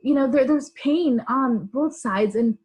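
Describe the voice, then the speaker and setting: A woman who is a congressional candidate, a guest on a political podcast, speaking earnestly and haltingly with fillers. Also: high voice, a little mellow, husky. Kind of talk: casual political interview.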